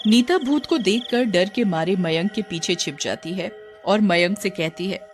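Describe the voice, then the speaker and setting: A woman's voice: medium pitch, unhurried. Woman narrating a horror story over music.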